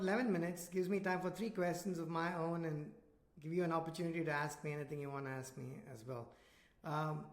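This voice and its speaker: A man with an Indian accent hosting a podcast interview, talking in a loose, conversational way. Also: sits high. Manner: conversational, loose, self-deprecating asides. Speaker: podcast host. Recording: roomy echo.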